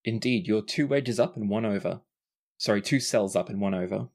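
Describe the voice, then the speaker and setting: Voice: mid-range voice, moderate pace, a little mellow. A game master and host narrating a tabletop roleplaying podcast in a descriptive way, sometimes self-correcting.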